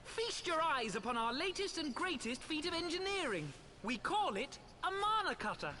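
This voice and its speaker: A man's voice: extremely high pitch, big pitch swings, normal speed, bright, forceful tone, a little hiss or hum, exaggerated voice. A man playing an eager, showy airship engineer in a game cutscene. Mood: proud. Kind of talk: scripted game cutscene acting.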